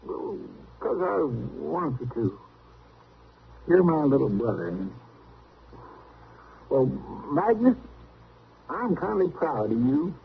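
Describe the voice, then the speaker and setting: An actor playing an affectionate, folksy older brother with a in a 1950s radio western, earnest and halting. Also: male voice, a little high, sing-song, gravelly, full character voice. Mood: pleading, tender. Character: plain-spoken, affectionate older brother in the Old West. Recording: noisy, muffled vintage radio recording.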